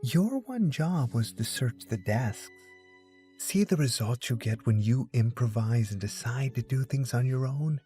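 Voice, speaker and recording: A man voicing a bossy, sharp-tongued teenage girl detective with a businesslike manner in an audiobook. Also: mid-range voice, animated, a little slow, soft background music, lightly acted. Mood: scolding. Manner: commanding, businesslike. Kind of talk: audiobook character dialogue.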